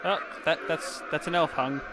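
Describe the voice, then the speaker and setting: A man gives casual let's play commentary in a fairly high voice. He drops wry, quipping asides, and there is loud noise behind him. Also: fairly even intonation, moderate pace. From Canada. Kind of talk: casual joking game commentary.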